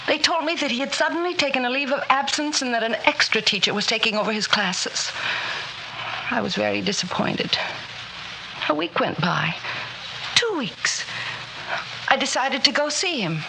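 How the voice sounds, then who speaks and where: Anxious, higher-voiced schoolteacher in old radio. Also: female voice, expressive, normal speed, creaky. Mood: tense recounting. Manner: earnest, solicitous, dramatic. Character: polite, anxious schoolteacher woman recounting an eerie story. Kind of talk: 1960s radio drama acting, dialogue and narration. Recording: noisy old radio drama recording.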